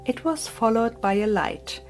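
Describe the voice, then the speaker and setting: A woman reading a literary story as an audiobook narrator, in a breathy, hushed, dramatic storytelling manner. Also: fairly high pitch, a little slow, soft background music.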